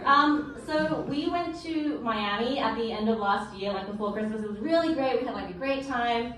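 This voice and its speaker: Aussie woman doing standup, higher voice, heard from far back in a boomy little club with chatter. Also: normal speed, a bit bright. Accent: Australian. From Australia. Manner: bubbly, self-deprecating, giggly asides. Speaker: stand-up comedian. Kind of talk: stand-up comedy set. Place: small comedy club stage indoors. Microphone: stage mic, heard from an audience camera. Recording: distant, echoey club audio with audience noise.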